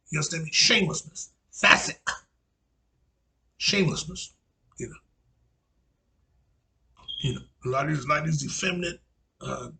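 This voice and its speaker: Man, 40s, raspy gravelly voice, sharp bright tone, rambling religious teacher, repetitive lecturing. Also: high voice. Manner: repetitive, frequent "you know" fillers, lecturing.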